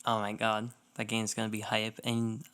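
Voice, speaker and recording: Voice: medium pitch, monotone, moderate pace, fairly bright tone, clear, resonant tone. A young adult co-hosting a gaming podcast, chatting casually and enthusiastically in fan slang. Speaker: podcast co-host.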